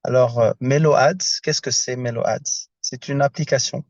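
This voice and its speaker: Halting man on a dull, dry video call. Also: medium pitch, fairly even intonation, darkish tone, dry recording. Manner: halting, searching for words in a second language. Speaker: founder presenting a project. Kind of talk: casual video-call presentation. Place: inside a car.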